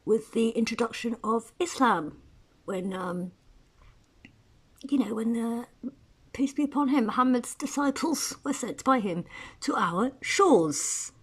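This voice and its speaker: A woman's voice: fairly high pitch, a little slow, pauses often, breathy edge. A woman from Eritrea talking at length in a casual personal monologue, rambling with restarts and asides. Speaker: writer.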